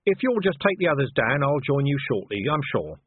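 Man acting a dry, shrewd commander, husky. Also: mid-range voice, very animated, fairly quick, no echo, narrow, tinny audio, voice pushed up. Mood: smooth. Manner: smooth, pointed, needling. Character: shrewd, dry, sardonic male city commander. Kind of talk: audiobook character voice.

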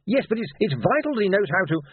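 A man's voice: sits high, big pitch swings, quick pace, husky, phone-quality sound, voice pushed up, full character voice. A man voicing a fussy, pedantic monk technician who speaks precisely and is easily flustered, in an audiobook. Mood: earnest, fussy. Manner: precise, stammering, over-explaining.

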